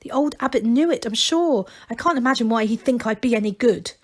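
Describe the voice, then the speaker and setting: A woman's voice: on the high side, animated, brisk. A woman voicing a reluctant, self-doubting male gnome in a storybook, hesitant and protesting. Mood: doubtful, protesting.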